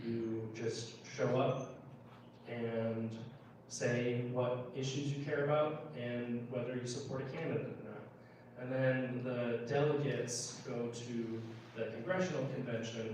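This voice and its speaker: A very reverberant, noisy room recording of an American man in middle age. He talks as a political candidate at an informal town meeting. His delivery is even in pitch, explanatory and broken by halting pauses. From Minnesota, United States. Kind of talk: informal town hall speech, answering questions. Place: indoor public meeting room, recorded from a distance. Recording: roomy echo, far mic, clear background noise.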